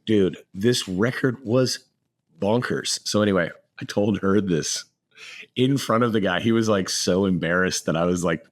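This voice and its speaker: A man with a very deep voice that has gone hoarse, chatting casually on a podcast. He spins lively stories and breaks into laughter often. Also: normal speed, no echo. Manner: animated storytelling, laughs often. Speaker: podcast co-host. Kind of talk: casual chat.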